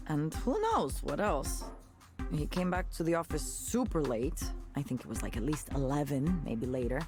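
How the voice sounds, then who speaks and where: An actress playing a candid, jaded former tech worker in a visual novel, conversational and sardonic with disgusted asides. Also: female voice, sits low, darkish tone. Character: candid, jaded woman ex-tech worker telling a wry story. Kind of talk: naturalistic visual novel voice acting.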